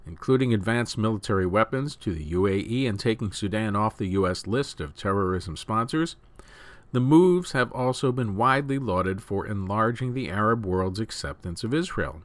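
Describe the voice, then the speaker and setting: A man's voice: lowish voice, lively intonation, normal speed, no room sound. A man reading scripted news stories as the host of a news program.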